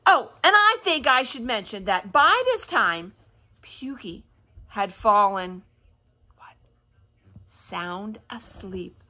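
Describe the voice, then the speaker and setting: A woman's voice: mid-range voice, expressive, takes it slowly, long pauses, pushed and bright. A woman reading a children's story aloud with expression, breaking off for chatty asides and questions to her young listeners. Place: indoors at home by a fireplace.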